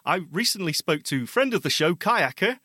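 Bright-voiced British man co-hosting. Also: high-pitched, lively intonation, normal speed, slightly rough, no echo, studio quality. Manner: bright, self-deprecating, chatty. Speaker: podcast co-host. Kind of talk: casual chat. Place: spare room at home. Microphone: remote recording.

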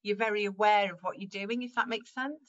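A woman's voice: mid-range voice, a little fast, fairly bright tone, dull audio. A woman from Wales chatting casually in an interview, laughing often and restarting her sentences as she talks. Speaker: guest improviser.

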